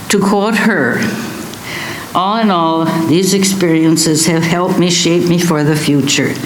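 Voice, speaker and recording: A man presenting awards, reading a prepared ceremony speech aloud. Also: a little high. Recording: echoey room, faint background noise.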